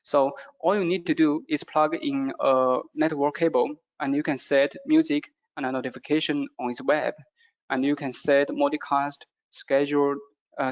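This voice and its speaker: A man who is a non-native English speaker gives a product presentation in a halting way, with frequent hesitations. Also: on the high side, fairly even intonation, dull audio. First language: not English.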